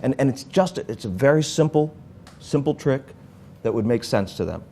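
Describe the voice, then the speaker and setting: Man, host, casual interview, rambling restarts, mid pitch, faint room noise. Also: expressive, moderate pace, dark tone. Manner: rambling, many restarts, asks questions.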